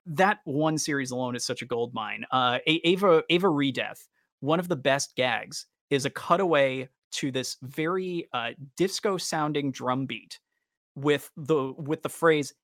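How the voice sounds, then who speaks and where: A man's voice: medium pitch, measured pace, fairly bright tone, no echo, studio quality. Enthusiastic man chatting on a podcast. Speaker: podcast host. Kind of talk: casual chat about anime fandom.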